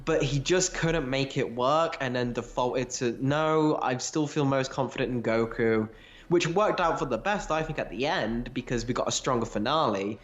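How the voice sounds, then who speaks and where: A man's voice: mid-range voice, moderate pace, dull audio. A man in casual analytical discussion as a podcast co-host, rambling a little as he thinks aloud.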